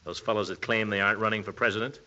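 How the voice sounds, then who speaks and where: An actor playing a pompous, fussy middle-aged American uncle running for mayor in a 1940s radio comedy. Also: male voice, lowish voice, steady tone, rapid-fire, pushed and bright. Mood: fussy, self-important. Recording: dull old-time radio recording.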